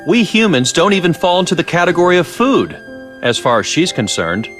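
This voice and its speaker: An actor playing a clever, genial scientist in a video game, chatting and lecturing in an unruffled way. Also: male voice, sits high, sing-song, a little fast, a bit bright, soft background music. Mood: intrigued, explaining. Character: clever, genial, sly male scientist doctor. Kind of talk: English video game voice acting.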